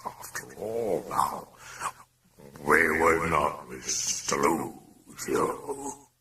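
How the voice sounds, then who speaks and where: A man voicing a sly, taunting, grandiose demon in a croaking, breathy rasp, drawn out with mock gentility for an audiobook. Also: rumbling low, big pitch swings, far from the mic, background noise, exaggerated voice. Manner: drawn-out, mock-genteel, theatrical delivery. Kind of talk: dramatic audiobook character voice.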